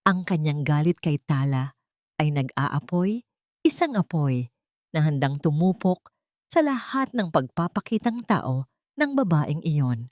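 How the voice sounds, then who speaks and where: Woman, storyteller, dramatic narration, emotive, low voice, dry close sound. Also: measured pace, no room sound. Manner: emotive, expressive.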